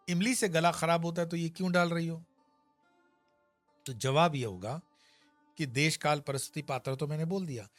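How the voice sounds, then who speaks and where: A man's voice: on the high side, no room sound. A man giving an emphatic spiritual discourse on a scripture, explaining verses with homely examples and scolding asides. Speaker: spiritual teacher. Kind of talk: Gita discourse.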